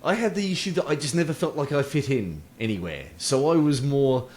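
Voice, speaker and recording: A man hosting a conversational podcast, with a voice of ordinary pitch. He reflects slowly and haltingly, padding his thoughts with ums and small asides. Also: lively intonation, darkish tone, a little hiss or hum. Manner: reflective, hesitant, many ums and short backchannel replies. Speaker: host. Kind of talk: casual interview chat.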